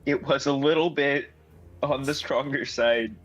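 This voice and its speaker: A man's voice: medium pitch, steady tone, measured pace, fairly bright tone, dull audio, faint music behind. A man chatting casually as a player in a tabletop game. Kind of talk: casual chat.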